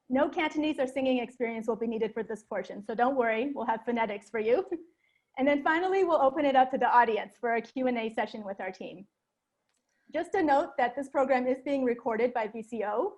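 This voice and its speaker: A woman from North America hosting a live online event, speaking in a friendly, clear way with small fillers. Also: sits high, a little mellow, highs cut off. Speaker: host.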